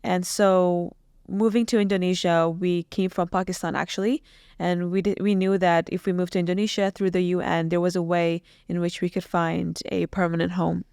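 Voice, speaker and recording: Young woman guest from Iran, smooth clear voice, dry studio sound, earnest and reflective delivery. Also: on the low side, level tone, normal speed, darkish tone, no echo. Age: young adult. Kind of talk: podcast interview. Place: small podcast studio. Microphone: boom mic on stand.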